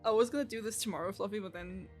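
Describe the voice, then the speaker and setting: A woman's voice: medium pitch, very fast, soft background music. A young adult woman streaming a game, commenting casually with slang and swearing.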